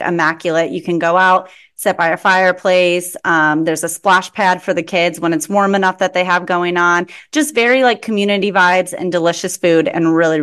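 A woman's voice: on the low side, a bit bright. A young adult woman from the Chicago area, chatting casually as a podcast guest and often saying "like". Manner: chatty, lots of "like". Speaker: guest, social media manager. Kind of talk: casual interview chat.

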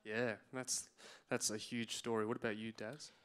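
A man's voice: mid-range voice, little pitch movement, moderate pace, a bit bright, smooth. A man leading an interview at a church service, friendly and guiding as he introduces the topic. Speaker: church pastor interviewing guests.

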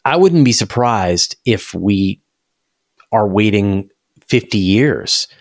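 A man's voice: lowish voice, expressive, takes it slowly, no room sound, studio quality. A man talking enthusiastically and emphatically in a conversational science discussion, as an interview guest.

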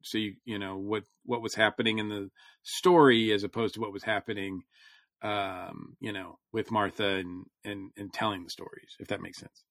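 Male podcast host, forceful bright tone, dry close sound, loose conversational delivery that wanders as he thinks aloud. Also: on the low side, flat delivery, moderate pace, no echo. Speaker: podcast host reviewing comics. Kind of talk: casual chat.

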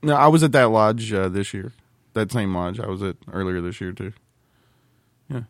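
A male podcast host with a fairly low voice, recorded close and dry with little room sound. He banters casually, teasing his companions and playing the aggrieved party. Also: normal speed, no room sound.

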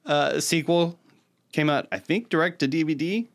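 A man's voice: fairly high pitch, moderate pace. A man co-hosting a podcast, chatting casually about pop culture in an enthusiastic, opinionated way. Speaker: podcast co-host.

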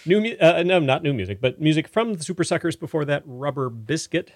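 Male radio host, casual and halting, brisk and creaky, close dry studio sound. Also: fairly high pitch, animated, no echo. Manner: halting, self-correcting. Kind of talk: casual music radio chat.

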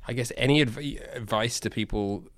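A man co-hosting a podcast interview, easygoing and quick to agree in casual chat. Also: on the low side, a bit bright, no room sound. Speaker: podcast co-host.

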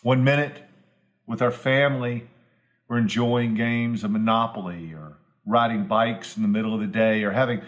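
A man, a pastor giving an earnest, homespun devotional talk that builds to emphatic repetition. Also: mid-range voice. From Southwest Virginia, US. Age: 40s.

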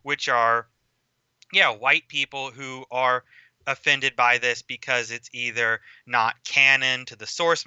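Bright, crisp-voiced man explaining at length. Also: medium pitch, no room sound, highs cut off. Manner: measured, explanatory, lecturing at length. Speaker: podcast guest. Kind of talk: casual film podcast discussion.

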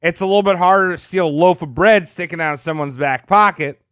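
A man in casual comedic chat, cracking jokes with quick interjections. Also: sits high, a bit bright, clean tone, dry recording, clean studio sound.

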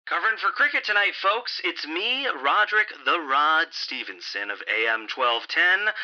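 A man's voice: fairly high pitch, bright, forceful tone, full character voice. A man playing a slick, exasperated local radio news host with a showy announcer delivery. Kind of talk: radio broadcast acting. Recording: dull AM radio broadcast sound.

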